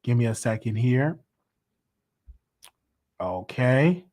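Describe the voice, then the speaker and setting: Chatty man hosting a live call-in stream. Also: slow pace. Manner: animated, pitch jumps high when excited. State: losing his voice. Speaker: host. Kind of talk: casual live call-in show.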